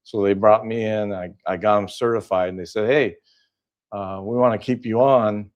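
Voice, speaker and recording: An older man with a fairly low voice talks as a guest in a relaxed interview. He is from Southern California, and he pauses often with "uh" and restarts his sentences as he goes. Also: dull audio. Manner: hesitant, many fillers and restarts. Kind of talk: casual interview chat.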